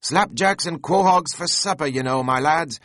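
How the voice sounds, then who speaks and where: A frantic young whaling mate pleads and squeals at his oarsmen, his voice pitched high and excited, as a man puts him on. It is a storybook reading with a dry, close sound. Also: moderate pace, dry recording, natural voice.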